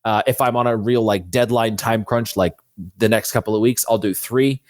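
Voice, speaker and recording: A man's voice: on the low side, fairly even intonation, moderate pace, dry recording, studio quality. A man chatting casually as an interview guest, explaining things in an informal way. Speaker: guest, fantasy author.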